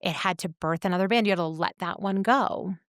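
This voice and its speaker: A woman podcast host talking reflectively in deliberate, measured short phrases. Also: lowish voice, dry recording, studio quality. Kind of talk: reflective conversational talk.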